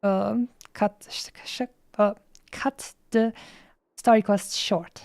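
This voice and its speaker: A woman game streamer talks casually in a mellow, medium-pitched voice. She rambles and drops playful asides. The recording is dry and close. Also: level tone, slow pace, vocal fry, dry recording. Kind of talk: casual livestream chat.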